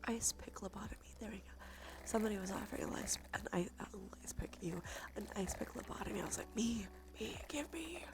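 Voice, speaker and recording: Soft half-whispering creaky woman streamer. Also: medium pitch, expressive, quick pace. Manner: laid-back, rambling, informal with swearing. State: congested nose. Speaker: livestream host. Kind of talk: cozy ASMR stream, casual chat to viewers. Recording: clear background noise, ambient sound.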